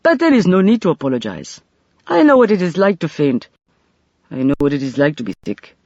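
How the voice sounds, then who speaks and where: Man voicing an inquisitive, frank woman, pitch swooping. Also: very animated, brisk, darkish tone, no room sound, highs cut off. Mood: startled, accusing. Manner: questioning, frank, easily startled. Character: inquisitive, kindly, frank Western woman visitor.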